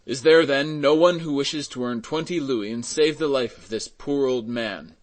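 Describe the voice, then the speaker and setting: A man playing a resolute, compassionate gentleman in a storybook reading, urgent and commanding as he appeals for help. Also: mid-range voice, lively intonation, moderate pace, natural voice. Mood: urgent, insistent. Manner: insistent, commanding, exclamatory.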